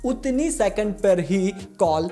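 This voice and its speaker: A man's voice: high voice, lively intonation, slow pace, warm tone, soft background music. A man hosting a smartphone tips tutorial in an informal, chatty way, talking to viewers like buddies. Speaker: tech tutorial host.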